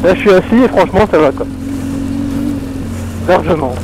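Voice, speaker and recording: A man's voice: sits high, moderate pace. A man, a fellow motorcyclist, chatting informally and exclaiming over a helmet intercom while riding outdoors. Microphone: helmet intercom microphone. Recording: loud engine and road noise on helmet intercom.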